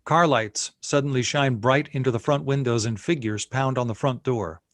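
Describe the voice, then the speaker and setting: A man's voice: medium pitch, normal speed, no room sound, clean studio sound. A man reading scene headings and stage directions as narrator at a screenplay table read.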